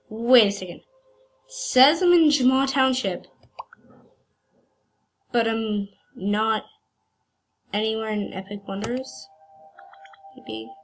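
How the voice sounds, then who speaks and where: Woman with a cold, rambling gameplay commentary, slightly high voice, slow delivery, faint music bed. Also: fairly bright tone. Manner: hesitant and rambling, many fillers. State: has a cold. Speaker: gaming channel host. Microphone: computer screen recording.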